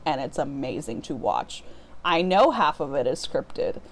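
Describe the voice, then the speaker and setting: A woman's voice: extremely low pitch, very animated, unhurried, a little hiss or hum. A woman chatting casually to viewers as a book vlogger, talking in an informal, self-deprecating way.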